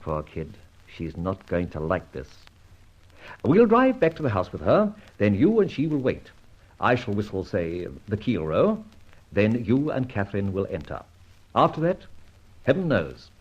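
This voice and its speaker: A husky, dashing masked vigilante in a vintage radio detective serial. He is sardonic and commanding, with theatrical, widely swooping delivery. The old recording sounds dull. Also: lowish voice, huge pitch swings, a little slow, exaggerated voice. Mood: brisk, wry. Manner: theatrical, clipped, declamatory. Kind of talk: old-time radio drama acting.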